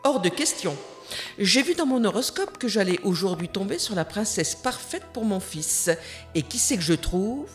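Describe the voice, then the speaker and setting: A woman's voice: mid-range voice, very animated, moderate pace, music in the background, close to an everyday voice. A woman voicing a pushy, scheming queen mother who talks in a bossy, theatrical way in a cartoon.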